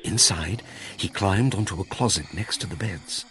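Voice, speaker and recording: A man narrating a children's fairy tale as a storyteller, in an expressive, theatrical way. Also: sits low, moderate pace, crowd noise. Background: music.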